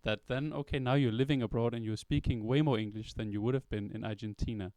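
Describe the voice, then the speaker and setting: Smooth-voiced man asking questions. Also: mid-range voice, fairly even intonation, fast talker, darkish tone, no room sound. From Denmark. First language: Danish. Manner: thoughtful, long reflective questions. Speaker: interviewer, songwriter. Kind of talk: interview questions. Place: record store at a release party.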